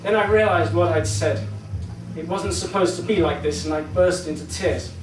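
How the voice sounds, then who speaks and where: Man, high voice, dramatic storyteller, live book reading, far from the mic. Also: normal speed, background noise. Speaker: author reading own story. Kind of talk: live literary reading of a first-person short story. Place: indoor book launch event at a London venue. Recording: distant, echoey live recording in a venue.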